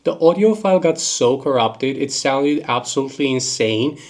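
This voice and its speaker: Young male book reviewer, nasal from a cold, casual and talkative, medium pitch. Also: lively intonation. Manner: chatty, rambling, quick bursts with laughs. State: congested from a cold. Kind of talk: casual monthly reading wrap-up.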